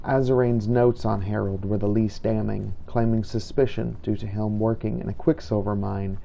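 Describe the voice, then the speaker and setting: A man voicing a solemn, righteous vampire-hunting inquisitor, narrating his hunt in formal, measured, old-fashioned phrasing for a game roleplay. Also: fairly low pitch, little pitch movement, gravelly, mellow, slight background noise, low-quality recording. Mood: brooding, measured. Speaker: video game player narrating in character. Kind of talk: scripted first-person roleplay narration.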